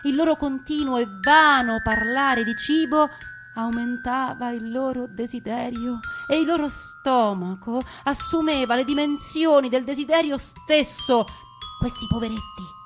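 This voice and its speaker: A woman narrating a theatrical monologue in a dramatic, deliberate way, lingering over her lists. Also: sits high, over music. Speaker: stage actress and narrator.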